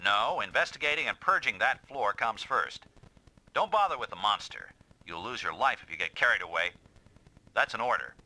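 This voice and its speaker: An actor playing an authoritative but protective squad commander in an anime-style video game, giving clipped orders. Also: male voice, on the low side, lively intonation, moderate pace, pushed and bright, exaggerated voice, poor audio. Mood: stern, urgent.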